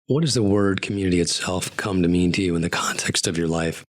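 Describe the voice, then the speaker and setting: A man hosting an interview podcast in a studio, asking polished, measured questions. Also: low-pitched. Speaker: podcast host. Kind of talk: interview podcast with scripted intro.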